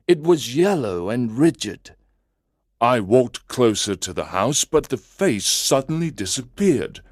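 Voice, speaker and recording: A man narrating a first-person story in a slow, deliberate, carefully enunciated way, as if for language learners. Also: mid-range voice, no room sound, studio quality.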